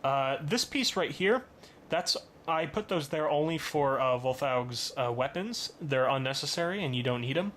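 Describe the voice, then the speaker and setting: Male hobbyist presenter, bright forward tone, casual halting tutorial delivery, noticeable background noise. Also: on the high side, lively intonation. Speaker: hobbyist presenter of a toy-building how-to.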